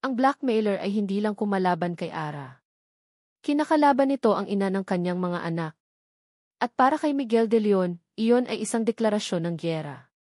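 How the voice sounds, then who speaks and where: Female narrator for dramatic love stories. Solemn, suspenseful, measured delivery, mid-range voice, very dry recording. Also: medium pitch, lively intonation, darkish tone, dry recording. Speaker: narrator of a romance drama story. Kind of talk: scripted dramatic story narration.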